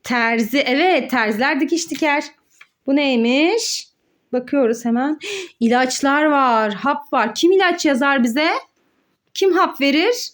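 Very high-pitched woman in playful teaching mode. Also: measured pace. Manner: sing-song, exclaiming, playfully instructive. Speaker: mother hosting a children's educational play video. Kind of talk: child-directed play narration with questions and answers.

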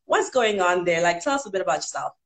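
A woman's voice: lowish voice, expressive, quick pace. A young woman hosting a casual video-call interview, bubbly, friendly and playful, on a headset from a room at home. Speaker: YouTuber host.

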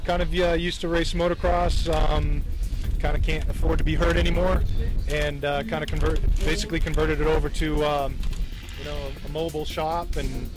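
A man in his forties, a vendor giving casual, halting interview answers in clipped phrases outdoors. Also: fairly high pitch, little pitch movement, music in the background, rough recording. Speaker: hobby shop vendor. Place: outdoors at open trailer doorway. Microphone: interviewer's handheld microphone.

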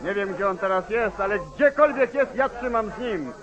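High-voiced gossiping mob member. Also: male voice, expressive, moderate pace, dull audio. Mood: eager. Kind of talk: mid-century Polish radio drama acting. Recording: old 1940s radio recording, crowd.

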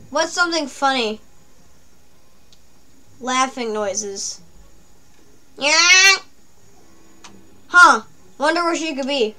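A high-pitched woman chats through a game in a jokey, rambling way, mostly talking to herself as she plays. Faint music sits underneath her commentary. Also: sharp tone. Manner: chatty, jokey, talks to herself. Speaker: let's play gamer. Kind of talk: casual gameplay commentary.

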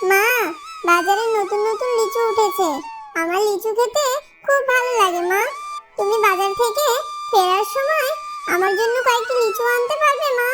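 A woman's voice: very high-pitched, moderate pace, over loud music. A woman voicing a sweet, pleading little fox girl in a children's cartoon, with a childlike manner. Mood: pleading, sad.

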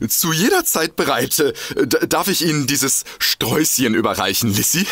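An actor playing an excitable, smitten, bumbling detective who stammers and gushes in a comedic audio drama. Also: male voice, medium pitch, moderate pace. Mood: gushing admiration. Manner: stammering, gushing, giggly, easily flustered.

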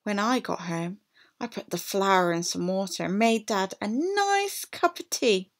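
A woman reading a picture book aloud to children as a teacher, in an animated, deliberate way. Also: medium pitch. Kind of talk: expressive storybook read-aloud for children.

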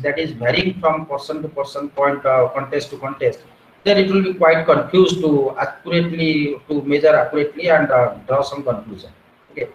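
A man, giving an online lecture and explaining step by step with frequent "uh" and "okay". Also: fairly high pitch. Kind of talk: online lecture on research methods. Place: small room at home. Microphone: wired earphone mic on a video call. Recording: echoey room with faint background noise.

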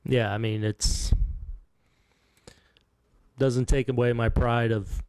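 A man in his fifties, a baseball manager at a postgame press conference, answering in a halting, soft-spoken way with many restarts, sounding heartbroken. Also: mid-range voice, steady tone, clean tone, dry recording, studio quality. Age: 50s. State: heartbroken, subdued. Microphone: table microphone.

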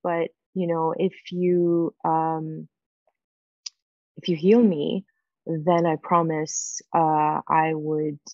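A woman in her forties, a native Farsi speaker, telling a personal story on a video call with many pauses and fillers. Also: low-pitched, level tone, no echo. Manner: halting, many fillers and restarts. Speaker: guest. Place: home room with windows. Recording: dull, dry video-call audio.

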